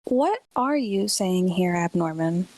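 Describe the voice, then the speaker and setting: An actress playing a dry, skeptical radio co-host in a radio play, delivering wry, deadpan remarks. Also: female voice, on the low side, slow, no room sound.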